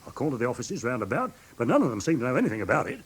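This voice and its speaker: Radio drama role: indignant English tradesman, husky, swooping intonation, rambling and easily flustered, humble before his betters. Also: mid-range voice, very animated, very fast. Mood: aggrieved. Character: indignant, simple-minded London pawnbroker, wronged and fussy. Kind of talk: classic British radio drama acting.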